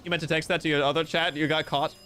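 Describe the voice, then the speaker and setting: A man's voice: on the high side, little pitch movement, fast, pushed and bright, clear, resonant tone, faint music behind. A man streaming live, reading out viewer comments in a playful, theatrical way with mock-grandiose joking. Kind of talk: casual livestream chat reading viewer comments.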